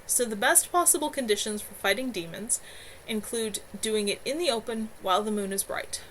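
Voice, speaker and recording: Brief: author reading her novel on a home stream, plain unpolished delivery, slightly high voice. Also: moderate pace, a bit bright, slight background noise, poor audio. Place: home room.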